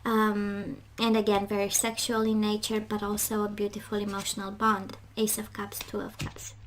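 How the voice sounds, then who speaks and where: A woman giving an informal tarot reading in a halting, reflective way, often letting phrases trail off. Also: mid-range voice, completely flat delivery, a little hiss or hum. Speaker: tarot reader and host.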